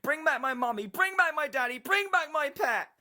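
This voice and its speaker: A man's voice: extremely high pitch, animated, normal speed, bright, forceful tone, voice pushed up, full character voice. A man voicing pleading, horrified ghost children screaming shrilly in a horror story. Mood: anguished screaming.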